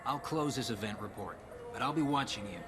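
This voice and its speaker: A man's voice: mid-range voice, brisk, over music, close to an everyday voice. Man playing a curt, officious space cop. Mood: stern. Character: officious, dismissive male space-station police officer.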